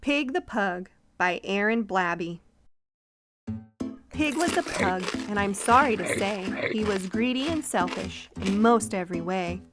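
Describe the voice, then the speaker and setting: A woman's voice: medium pitch, animated, unhurried. A woman narrating a rhyming children's storybook as a read-aloud. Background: children's music.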